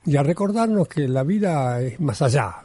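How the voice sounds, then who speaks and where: A man's voice: on the high side, lively intonation, moderate pace, dark tone, breathy edge. A man with an Argentine accent, a Zen monk being interviewed, talking reflectively and searching for words, often repeating a phrase. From Buenos Aires, Argentina. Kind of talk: reflective conversation.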